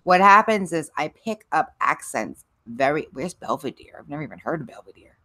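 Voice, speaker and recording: A woman's voice: low voice, big pitch swings, brisk, bright, forceful tone, no room sound. A middle-aged woman from New York hosting a livestream, chatting casually, rambling between topics and reading out the chat with frequent laughs. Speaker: livestream host.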